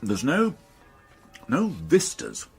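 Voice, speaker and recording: An actor playing a gloomy, middle-aged, dry-witted police inspector in a naturalistic radio drama. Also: male voice, mid-range voice, wildly swooping pitch, drawn-out words, a little mellow, slightly rough, faint music behind, exaggerated voice. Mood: grumbling, wry. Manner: grumbling, understated, dryly sardonic.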